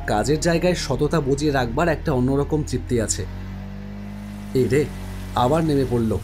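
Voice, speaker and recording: A man narrates a horror tale in the first person with dramatic delivery. His voice sits at a medium pitch, with music clearly playing behind it. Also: moderate pace.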